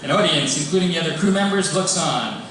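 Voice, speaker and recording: A man's voice: a little high, animated, fast talker, a bit bright. A man reading scripted stage directions as narrator of a live table read in a large hall. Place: large indoor hall before an audience. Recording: echoey hall, distant, audience noise.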